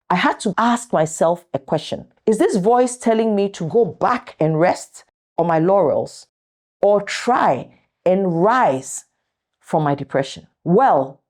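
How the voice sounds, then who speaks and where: A middle-aged woman giving a motivational talk as host, speaking deliberately and emphatically in short, weighted phrases with pauses. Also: fairly low pitch, mellow. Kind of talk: motivational talk to an audience of viewers.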